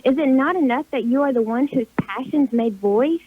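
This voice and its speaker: A woman playing a solemn, commanding spirit in a radio play, speaking in measured archaic phrases through a telephone effect. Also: sits high, husky. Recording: thin, band-limited phone-line sound.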